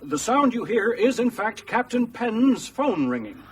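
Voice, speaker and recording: A man's voice: extremely high pitch, big pitch swings, measured pace, breathy edge, recorded from a distance, heavily acted. A man acting in a radio drama as a pushy American current affairs presenter, formal and insistent on air.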